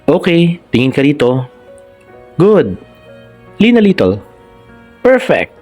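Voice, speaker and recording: A man's voice: a little high, wildly swooping pitch, slow, a little mellow, faint music behind, pitched above the performer's own voice, heavily acted. A Filipino man voicing an earnest, reassuring young male photographer in a romance story. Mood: encouraging.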